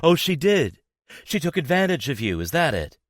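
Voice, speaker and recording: Give me a man playing an angry, betrayed young woman, scornful and biting, pitched high and lively. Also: normal speed, no room sound, clean studio sound. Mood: sarcastic. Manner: bitter, sarcastic and accusing. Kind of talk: audiobook character voice.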